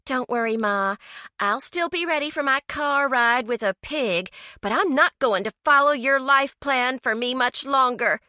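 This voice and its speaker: Lady voicing a snappy teen girl, raised pitch, sassy and bored, crisp dry sound. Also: measured pace, sharp tone, dry recording. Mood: taunting. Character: defiant teenage girl, sassy and dismissive.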